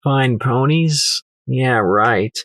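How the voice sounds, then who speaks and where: A man's voice: medium pitch, drawn-out words, a bit bright, dry recording. A man voicing a small, desperate young male pony slave in an audiobook story, with an anxious, pleading manner. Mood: reluctant, muttering.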